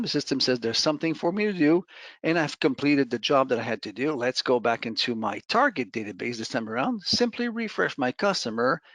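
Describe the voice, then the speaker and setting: Man giving a dry-recorded software demo. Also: mid-range voice, no echo. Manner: conversational, step-by-step explaining. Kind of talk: informal screen-share software walkthrough.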